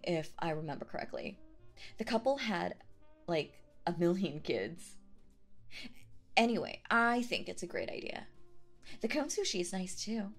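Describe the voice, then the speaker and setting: Woman doing a sweet possessive yandere girlfriend for asmr roleplay, coy and airy, takes her time, bit of music behind. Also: mid-range voice. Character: clingy, possessive young woman, sweetly obsessed lovestruck date.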